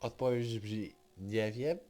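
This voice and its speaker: A man's voice: on the low side, fairly even intonation, measured pace, dark tone, faint music behind. A Polish man giving casual, brief reactions as he plays a video game. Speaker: gamer commenting on gameplay. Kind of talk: casual gameplay commentary.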